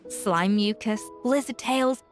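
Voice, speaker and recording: A woman's voice: medium pitch, takes it slowly, faint music behind, close to an everyday voice. A woman playing a poised, exacting young female chef in a video game, articulate, precise and self-assured. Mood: earnest, confident.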